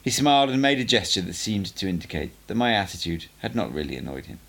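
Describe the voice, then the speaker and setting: A man narrates a book aloud in a low voice with a gravelly creak. His intonation moves around widely, and he reads in a measured, emphatic way, like an amateur audiobook reader telling a story. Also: big pitch swings, fairly bright tone.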